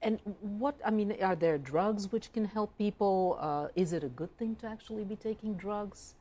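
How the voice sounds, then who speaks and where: A woman's voice: medium pitch, normal speed, warm tone. A woman presenting the news and putting interview questions in a broadcast style.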